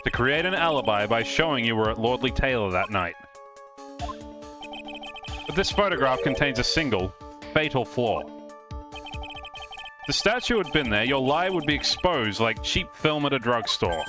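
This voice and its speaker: A man's voice: medium pitch, big pitch swings, a little slow, pauses often. A man playing an earnest, confident young defence lawyer, declaiming in a live comedic dub of a courtroom game. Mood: confident, accusing. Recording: music behind the voice.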